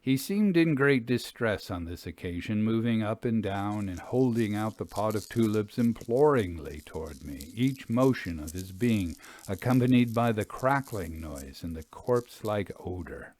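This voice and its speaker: A man reading a classic ghost story as narrator, in a solemn, deliberate and dramatic literary style. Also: fairly low pitch, expressive, darkish tone.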